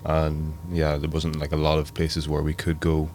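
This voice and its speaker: A man's voice: very deep voice, moderate pace, a little hiss or hum. A Northern Irish man in his thirties, a DJ and producer, chatting casually with lots of fillers in an interview. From Belfast, Northern Ireland. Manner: relaxed, rambling, many fillers. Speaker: guest DJ and producer. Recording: music playing underneath.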